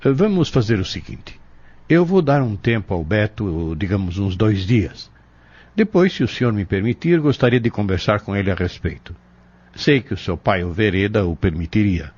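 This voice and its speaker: Wise Brazilian adviser, deep, animated yet measured, sure of himself, dull radio-play audio. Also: male voice, slightly rough. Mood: calm, kindly. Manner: firm, measured, didactic. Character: wise, assured pharmacist and spiritual adviser. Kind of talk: Brazilian radio drama acting.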